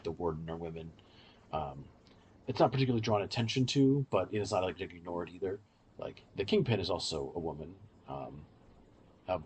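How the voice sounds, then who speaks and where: A man's voice: sits low, slight background noise. A man hosting a review podcast, explaining things in a casual, conversational way. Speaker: podcast host reviewing audio dramas.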